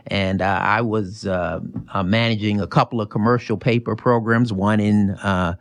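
A middle-aged man from Chicago with an American accent, answering interview questions informally, often halting and restarting. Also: fairly low pitch, steady tone. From Chicago, United States. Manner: informal, halting, self-correcting. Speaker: interview guest, finance executive.

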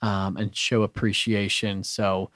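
A man from Bulgaria speaking as a radio guest in a studio, earnest and halting with many restarts. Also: lowish voice, level tone, a bit bright, no room sound. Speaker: radio show guest, business owner. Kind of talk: casual radio interview.

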